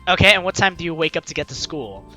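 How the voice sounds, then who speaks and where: A young adult host doing casual, playful game commentary and theatrically reading the dialogue aloud in an excitable way. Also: medium pitch, fast talker. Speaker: let's play host. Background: faint game music.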